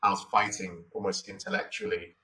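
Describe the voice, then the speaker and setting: Interview guest: Nigerian man, 40s, deep but crisp-edged voice, reflective and repetitive. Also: huge pitch swings, brisk, bright, forceful tone, distant mic, rough recording. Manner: thoughtful, repeats phrases, many "you know, like" fillers.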